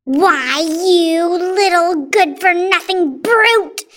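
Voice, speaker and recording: A woman's voice: high voice, expressive, takes it slowly, full character voice. A woman voicing a vain, bossy, nasty aunt from a children's storybook, theatrical in her gushing and scolding. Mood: angry, scolding. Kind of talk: children's storybook character reading.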